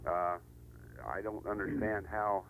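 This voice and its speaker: A man's voice: on the low side, level tone, phone-quality sound. A middle-aged American man explaining things casually and hesitantly as a caller to a radio show. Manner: hesitant, halting. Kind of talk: casual explanatory phone-in talk. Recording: noisy radio phone-in with hum.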